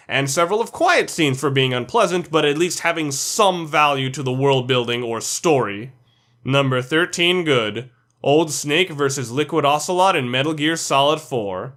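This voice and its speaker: A man's voice: on the high side, a bit bright. A man reading scripted commentary for a video game ranking list, blunt and opinionated.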